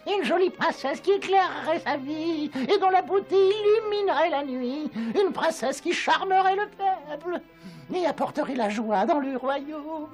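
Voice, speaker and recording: An actress playing a mocking, jealous schemer at a tsar's court, theatrical, in a dubbed fairy-tale cartoon. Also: female voice, high-pitched, animated, measured pace, faint music behind. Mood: mocking, haughty.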